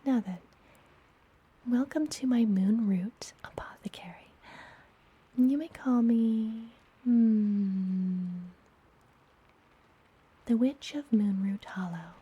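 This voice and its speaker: Woman as a whispering, unhurried forest witch. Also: on the high side, dull audio, full character voice. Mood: playful, soothing. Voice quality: soft, breathy whisper. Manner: gentle, slow, playfully teasing. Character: friendly, mysterious, teasing forest witch and apothecary healer. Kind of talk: ASMR fantasy roleplay.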